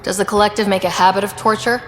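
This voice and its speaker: A woman's voice: mid-range voice, steady tone, fast, fairly bright tone, reverberant, long reverb tail, slight background noise, natural voice. An actress playing a resolute, direct female space explorer in a video game. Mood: stern, challenging. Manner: direct, firm, matter-of-fact.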